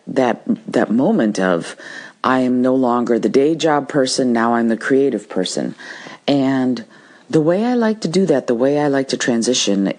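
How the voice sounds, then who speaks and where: Husky-voiced woman hosting a podcast. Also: a bass voice, a little mellow. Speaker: podcast host. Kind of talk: solo podcast talk with practical advice.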